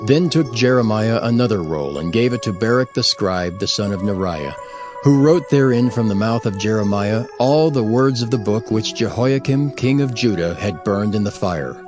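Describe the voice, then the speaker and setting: Casting: man to narrate dramatized scripture, formal and expressive, medium pitch, clear music bed. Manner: formal, measured storytelling. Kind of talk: dramatized King James Bible narration.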